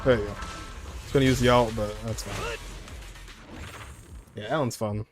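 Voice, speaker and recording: A man's voice: lowish voice, fairly even intonation, moderate pace. A man streaming a game, giving casual, offhand commentary as he plays, half talking to himself. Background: game music.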